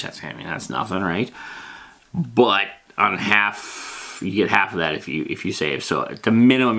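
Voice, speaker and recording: Low-voiced man with very animated delivery. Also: vocal fry. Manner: informal, emphatic asides amid reading rules aloud. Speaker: host explaining tabletop game monsters. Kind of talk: casual, rambling monster lore commentary.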